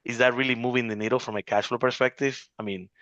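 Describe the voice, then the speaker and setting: A man's voice: mid-range voice, steady tone, fast talker, a bit bright, dry recording, lo-fi sound. A man in his forties chatting on a video call about investing, rambling with many restarts and fillers. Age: 40s. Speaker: real estate investor in an accountability group. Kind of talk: casual video call chat.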